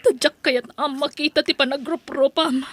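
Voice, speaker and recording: A woman's voice: sits high, fairly even intonation, normal speed, a bit bright, no echo. A woman with an Ilocano accent playing a betrayed young wife, wounded and bitter, speaking emotionally in a radio-style family drama. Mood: angry, bitter. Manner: emotional, plaintive.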